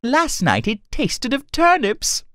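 Children's cartoon: chatty, flattering young traveling man, very animated intonation, unhurried. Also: high voice, no room sound, studio quality, exaggerated voice. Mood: pleased, musing. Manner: theatrical, courteous. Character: cheerful, polite young male traveling storyteller, chatty and flattering. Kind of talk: animated children's story acting.

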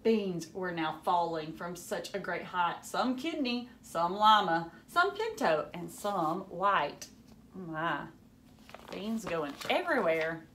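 A woman in her thirties giving an animated, dramatic picture-book read-aloud with teacherly asides in a room at home. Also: lowish voice, takes it slowly, a little hiss or hum.

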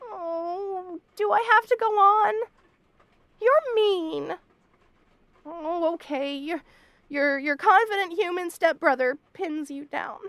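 A woman's voice: extremely high pitch, slow pace, a bit bright, no echo, exaggerated voice. A woman voicing a shy young bunny girl roommate in a romantic comedy audio roleplay, stammering and protesting in a flustered way. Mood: mortified, pleading.

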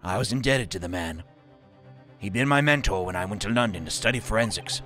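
A grave, formal professor of middle years speaks in a measured, unhurried way, as a man reads his lines aloud. The voice sits a bit lower than the reader's own. Faint game music plays underneath. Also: sharp tone. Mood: solemn. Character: calm middle-aged male professor, formal.

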